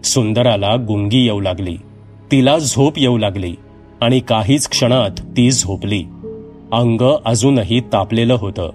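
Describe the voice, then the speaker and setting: Guy narrating a scary story, medium pitched voice, music playing behind. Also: unhurried, a little mellow. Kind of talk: scripted horror story narration. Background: background music.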